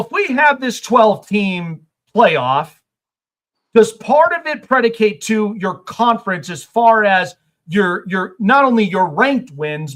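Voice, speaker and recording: A man's voice: high voice, fairly bright tone. A man hosting a sports talk show, asking long rambling questions in a casual chat. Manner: rambling questions with many fillers.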